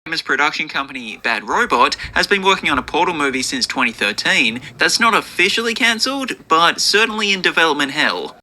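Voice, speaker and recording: A man's voice: fairly high pitch, big pitch swings, fairly quick, hardly any pauses, bright, forceful tone. A man reading scripted, informational narration about entertainment news. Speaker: video narrator.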